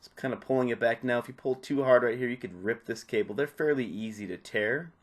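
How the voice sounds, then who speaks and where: A man's voice: medium pitch, a little fast. Man casually narrating a how-to. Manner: informal, step-by-step instructing. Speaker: repair tutorial presenter.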